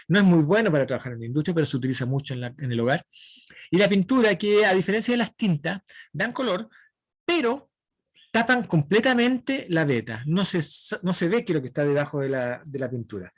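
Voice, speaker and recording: A man's voice: on the high side, no echo, clean studio sound. A man giving a woodworking lecture online, explaining step by step and often checking in with his students. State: dry throat. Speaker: woodworking instructor.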